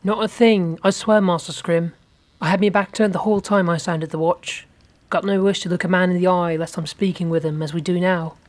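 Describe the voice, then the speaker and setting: A man's voice: high-pitched, steady tone, a little fast, lightly acted. A man playing a deferential, plain-spoken sailing ship crewman with rustic, archaic seafaring speech in an audio horror drama. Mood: earnest.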